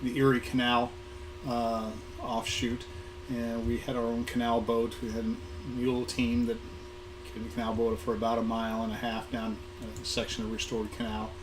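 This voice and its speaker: A middle-aged or older American man reminisces in an informal talk, his voice hoarse and sitting at an average pitch. He speaks haltingly, repeating small words and pausing to find the next one. The live recording has clearly audible background noise. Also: completely flat delivery. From Southern California. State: hoarse, getting over an illness. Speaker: guest speaker, museum programming manager. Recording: noisy live recording with room ambience.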